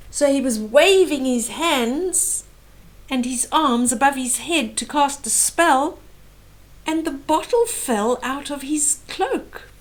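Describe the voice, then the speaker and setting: A woman narrates a children's picture book in a high, very lively voice. She takes her time, adding dramatic emphasis and little asides for young listeners. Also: slow. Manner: animated, exclaiming, dramatic emphasis. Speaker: children's storybook reader. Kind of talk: expressive read-aloud story narration with explanatory asides.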